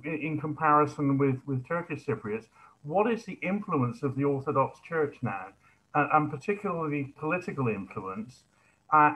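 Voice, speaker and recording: A middle-aged man putting a spontaneous question at an online seminar, in halting phrases with repeated words. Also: a little high, pushed and bright, poor audio. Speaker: audience member asking a question. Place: living room, indoors. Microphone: video call, computer microphone.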